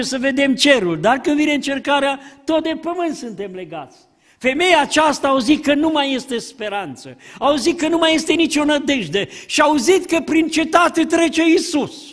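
Older man preaching with high, reverberant voice. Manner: animated, gesturing at the pulpit. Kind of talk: sermon. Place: church hall. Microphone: pulpit mic.

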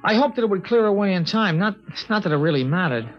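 A reflective teenage boy in a vintage radio play, his voice a touch high and plainly spoken. He narrates with a slight hesitancy, and the sound is dull and muffled like an old broadcast. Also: animated, fast, faint music behind. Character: earnest teenage boy narrating the last day. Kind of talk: 1950s radio drama acting and narration.

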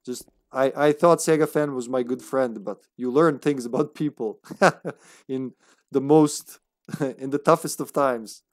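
A man's voice: mid-range voice, unhurried. A man in his thirties with a Serbian accent, joking and laughing through casual live gameplay commentary. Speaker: streamer.

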